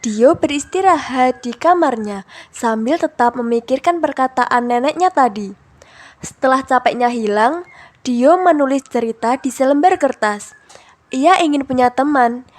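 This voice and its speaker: A woman's voice: high voice, expressive, measured pace, darkish tone. A young woman, a student storyteller, narrating a scripted children's tale. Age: young adult.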